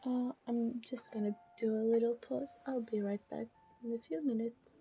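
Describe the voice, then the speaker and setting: A woman livestreamer chatting casually over a game, with a husky voice. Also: a little high, steady tone, normal speed. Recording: muffled stream audio with music behind. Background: music.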